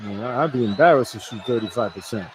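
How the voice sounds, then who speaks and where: A man's voice: medium pitch, animated, quick pace. A man giving conversational, opinionated color commentary on a live basketball radio broadcast. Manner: conversational, opinionated explanations of plays. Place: courtside in a basketball arena. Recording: clear arena noise behind the voice. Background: arena ambience.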